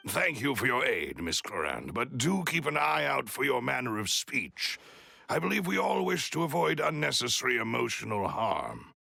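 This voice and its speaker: A protective, courteous manservant in a video game. His voice is heavily creaky yet lively in pitch, with tactful, formal phrasing over background music. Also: moderate pace, full character voice. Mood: tactful reproach. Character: courteous, protective male attendant to a young lady.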